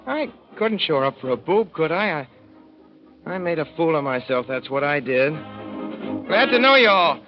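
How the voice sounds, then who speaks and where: A man's voice: a little high, sing-song, moderate pace. An actor playing a naive young man from the Midwest who rambles through his story in a folksy, boyish way, on an old radio play. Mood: eager. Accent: Midwestern American. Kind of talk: 1930s radio drama narration. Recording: dull 1930s radio broadcast with music.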